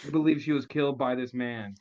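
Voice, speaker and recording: A man's voice: mid-range voice, steady tone, fast talker, a little mellow, lightly acted. Man as a stern agency chief, lo-fi call. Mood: grave briefing. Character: businesslike, stern male secret agency chief. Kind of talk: comedy screenplay table read. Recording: dull, lo-fi online call.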